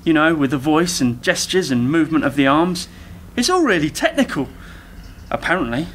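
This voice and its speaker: An actor playing an eager, naive younger techie in a radio play, rambling and over-explaining earnestly. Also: male voice, fairly high pitch, sing-song, moderate pace, a bit bright, a little hiss or hum. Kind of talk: community radio play dialogue.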